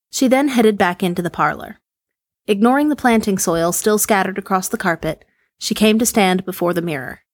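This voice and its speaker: A woman reading a short horror story aloud in steady, measured narration. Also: medium pitch, no echo. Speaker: story reader.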